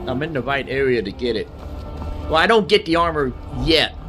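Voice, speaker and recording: A man's voice: medium pitch, expressive, normal speed, bright, forceful tone, soft background music. Man rambling through casual gameplay commentary. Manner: rambling, thinking aloud, repeating himself. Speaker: gamer narrating own playthrough.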